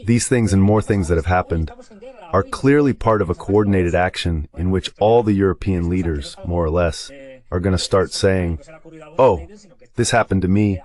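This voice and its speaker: Low-pitched man in translated political commentary. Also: normal speed, darkish tone.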